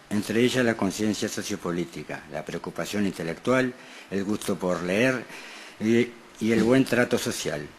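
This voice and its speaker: Low-voiced man on radio, noisy recording. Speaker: radio co-host. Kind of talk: reading a print interview aloud on radio. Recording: noticeable background noise.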